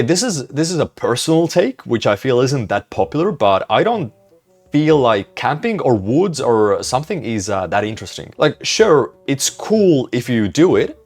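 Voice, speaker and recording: A young man answering viewer questions in a casual vlog, rambling, self-mocking and swearing offhandedly. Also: mid-range voice. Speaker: vlogger.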